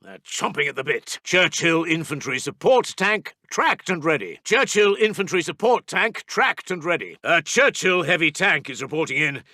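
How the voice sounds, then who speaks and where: A male actor playing a brisk, urgent British wartime intelligence officer in a war game, giving clipped, formal military announcements with a British accent. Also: a little high, animated, pushed and bright, dry recording, studio quality. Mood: brisk, upbeat. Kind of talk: scripted game unit announcements.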